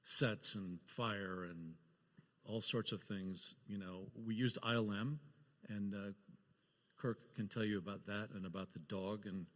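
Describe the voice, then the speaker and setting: Low-voiced man reminiscing in echoey hall. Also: steady tone, unhurried, a bit bright. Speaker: panel guest, film producer. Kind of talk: casual recollection on a live panel. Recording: echoey hall, live panel sound.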